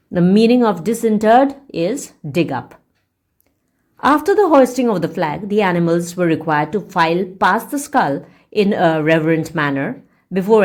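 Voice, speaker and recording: A woman reading a story aloud as a teacher would, in an expressive, deliberate and emphatic read-along style. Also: fairly low pitch. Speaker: audiobook reader and English teacher.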